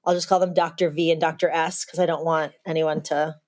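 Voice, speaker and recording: A woman in her thirties, originally from Texas, talks as a guest on a podcast. Her voice sits a little low, and her talk is open and chatty. Laughter slips in easily as she tells her story. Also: flat delivery, fairly quick, a little mellow. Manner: candid, chatty, laughs easily mid-sentence. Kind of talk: casual conversation, telling her birth story.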